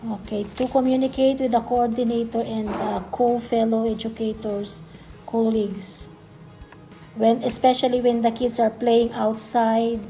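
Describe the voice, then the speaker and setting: A woman's voice: on the high side, flat delivery, unhurried, creaky, warm tone, poor audio. A woman vlogger giving a casual unboxing demonstration, explaining things simply step by step and often repeating herself. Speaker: childcare educator. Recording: background music. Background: music.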